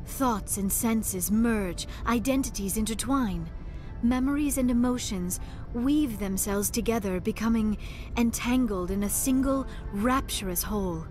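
A woman's voice: on the high side, unhurried, a little mellow, soft background music, lightly acted. A woman playing a young female alien scholar in a video game, earnest and reserved, with formal, precise speech. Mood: earnest, gentle. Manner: formal, precise wording, avoids contractions. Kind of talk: video game dialogue acting.

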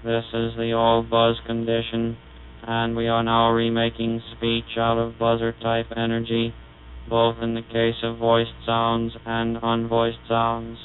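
A man demonstrating an early speech synthesizer, speaking in a measured, explanatory way through a buzzy vocoder. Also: mid-range voice, monotone. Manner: measured, explanatory, recites test sentences. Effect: vocoder-resynthesized voice, buzzy and synthetic. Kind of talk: technical demonstration. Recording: tinny 1930s archival recording with mains hum.

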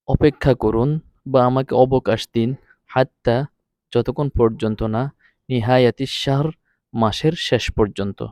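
A man's voice: mid-range voice, dark tone, no echo. Man teaching, dry close sound. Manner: explanatory, repeats and glosses word by word. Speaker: Arabic language teacher. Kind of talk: phrase-by-phrase translation lesson.

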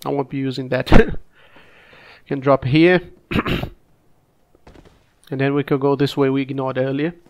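A man with a cold casually commenting on his own gameplay, relaxed and halting, coughing now and then. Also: mid-range voice. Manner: relaxed, halting, frequent restarts and fillers. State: sick, coughing.

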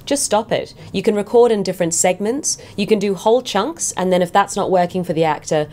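A woman's voice: mid-range voice, a little fast, darkish tone. A woman giving direct, instructive explanations in an interview. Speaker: radio play organiser giving advice.

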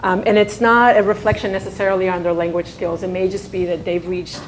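A woman's voice: mid-range voice, flat delivery, fairly quick. A woman in her thirties, raised in Spain and Spanish-speaking from birth, giving a conference presentation in a chatty way with frequent fillers and restarts. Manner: conversational, many fillers and restarts. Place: large conference room. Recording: echoey conference room, faint hum.

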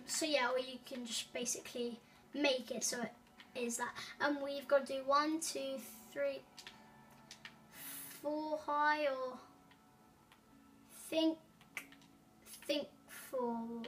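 A woman with a high voice narrates a casual game tutorial, wandering through her points with frequent ums and corrections. She sounds set back from the microphone, with faint music playing underneath. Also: a bit bright, far from the mic. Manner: rambling, many ums and self-corrections. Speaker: gaming tutorial host.

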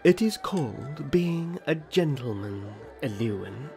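A man playing a courteous, protective young elf prince in a fantasy audio drama, speaking in a formal, gentlemanly way. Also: mid-range voice, animated, slow pace, gravelly, faint music behind. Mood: stiff, protective.

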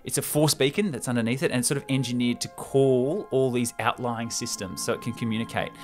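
A man's voice: medium pitch, steady tone, fairly quick, a little mellow. Enthusiastic young man podcasting over music. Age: young adult. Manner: enthusiastic, gesturing, slangy. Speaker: podcast host. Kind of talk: animated casual retelling of a film script. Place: small room indoors. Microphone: close podcast microphone on stand.

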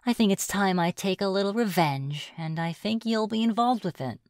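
A woman's voice: medium pitch, expressive, darkish tone, no room sound, studio quality, lightly acted. A woman playing a reclusive, flirtatious witch in an ASMR fantasy roleplay, with a playful, mocking manner. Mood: smug, menacing. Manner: playful, mocking, drawn-out taunting. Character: reclusive, teasing, flirtatious witch of the deep woods.

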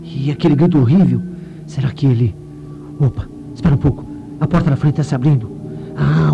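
An actor playing an eager, ambitious, excitable reporter who talks colloquially to himself in a comic radio play. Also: male voice, mid-range voice, creaky.

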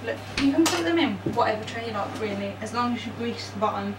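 Higher-voiced young woman in an echoey kitchen. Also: animated, fast. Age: young adult. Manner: informal, self-deprecating, unscripted asides. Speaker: home baking vlogger. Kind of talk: casual chatty cooking demonstration. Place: small home kitchen, indoors. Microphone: camera mic a metre or two away. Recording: roomy, distant mic, noisy background.

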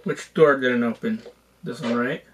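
A hoarse young man casually reviewing a VR headset at home, exclaiming through gameplay. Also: mid-range voice, measured pace, heavy background noise. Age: young adult. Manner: excited exclamations, chatty. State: hoarse from being sick. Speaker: tech reviewer and VR gamer. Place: small home room with shelves, indoors.

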